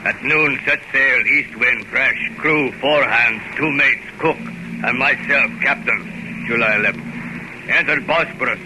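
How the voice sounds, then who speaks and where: A noisy 1930s radio play recording. A man acts a grim foreign sea captain, very slow and ominous, giving out clipped, halting phrases like log entries. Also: medium pitch, close to an everyday voice. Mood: grim, weary. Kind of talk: 1930s radio drama acting.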